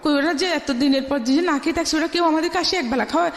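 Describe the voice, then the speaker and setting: Middle-aged Bangladeshi female guest, high voice, emphatic personal storytelling, recorded with long reverb. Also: quick pace. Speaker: guest telling her life story. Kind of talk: personal storytelling in a radio interview. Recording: echoey room with long reverb.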